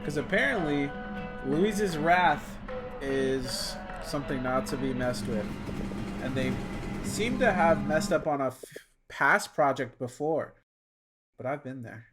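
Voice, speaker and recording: A man's voice: a little high, lively intonation, slow pace. Young man commentating over game music. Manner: slangy, wry. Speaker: gaming content creator.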